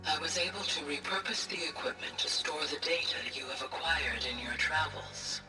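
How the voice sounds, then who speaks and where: An actor voicing a calm, formal artificial intelligence in a video game, speaking in a measured way. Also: mid-range voice, faint music behind. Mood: composed. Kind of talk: video game dialogue acting. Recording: echoey, distant synthetic-sounding projection.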